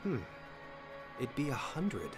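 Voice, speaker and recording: A man's voice: mid-range voice, big pitch swings, takes it slowly. An actor voicing an irritable, sarcastic young man in a video game, blunt and exasperated. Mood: exasperated, hesitant. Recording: music under the voice.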